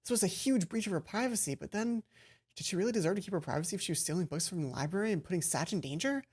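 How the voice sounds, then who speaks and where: A woman's voice: fairly low pitch, racing, slightly rough. A woman let's player reading a visual novel aloud expressively, now and then stumbling and repeating a line. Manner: expressive, stumbles and rereads lines.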